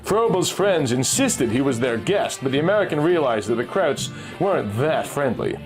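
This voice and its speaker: Lively male pulp story narrator. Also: medium pitch, moderate pace, low-quality recording. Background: music.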